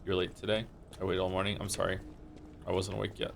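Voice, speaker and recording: A man with a slightly croaky voice streaming a video game, thinking aloud and correcting himself as he reads game text and chats. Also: low-pitched, monotone, quick pace, slight background noise. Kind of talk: casual gameplay commentary, reading game text aloud.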